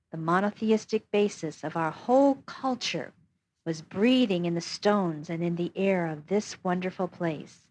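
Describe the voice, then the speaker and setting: A woman reading a first-person memoir aloud as scripted narration, steady and even. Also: mid-range voice. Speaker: audiobook reader.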